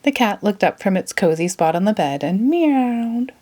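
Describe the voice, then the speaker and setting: A woman narrating a children's storybook as a read-aloud, in an expressive way. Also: medium pitch, normal speed.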